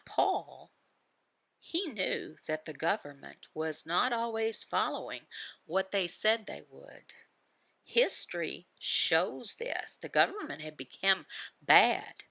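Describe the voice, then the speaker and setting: A woman giving a devotional Bible teaching in short, halting phrases, often asking questions and answering them herself. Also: fairly low pitch, very animated, gravelly, sharp tone, dry recording. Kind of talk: devotional teaching voicing a signed sermon.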